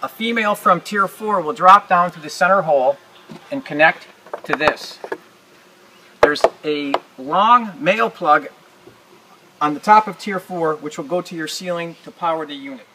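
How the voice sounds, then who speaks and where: A man's voice: a little high, normal speed. A man giving practical, informal step-by-step instructions as he demonstrates an assembly. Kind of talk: hands-on instructional narration. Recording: noisy room, uneven distance from mic.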